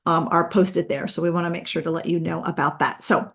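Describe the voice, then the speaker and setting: A woman's voice: on the low side, little pitch movement, a little fast, vocal fry, fairly bright tone. Upbeat chatty woman podcast host. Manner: chatty, upbeat, many ums. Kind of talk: casual podcast talk.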